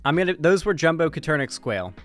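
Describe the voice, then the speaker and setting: A man's voice: on the high side, very animated, fairly quick. A man hosting a livestream, talking in a casual, informal and chatty way. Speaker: livestream host. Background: background guitar music.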